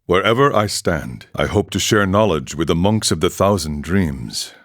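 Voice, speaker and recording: A man voicing a calm, ascetic warrior monk in a video game, speaking in a measured, solemn, philosophical way. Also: sits low, no room sound, studio quality. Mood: calm, musing. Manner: measured, solemn, aphoristic storytelling. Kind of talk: video game character dialogue.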